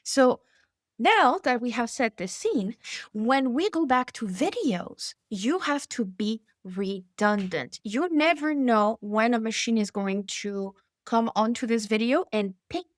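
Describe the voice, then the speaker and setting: Animated woman guest, emphatic storyteller. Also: a little high, unhurried, no room sound, studio quality. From Hawaii. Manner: animated, emphatic storyteller who laughs between points. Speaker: guest expert. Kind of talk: conversational interview.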